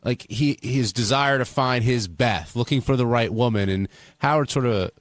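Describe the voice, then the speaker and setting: A man's voice: mid-range voice, moderate pace, no echo. A man hosting an unscripted radio discussion, putting questions and hypotheticals conversationally. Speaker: talk show host.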